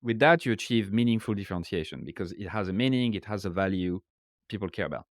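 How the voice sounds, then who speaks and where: A man's voice: lowish voice, animated, fast, no room sound, clean studio sound. French-accented man explaining his method. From France. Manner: explanatory, lots of "right?" and "so". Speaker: author and guest. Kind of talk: casual interview talk.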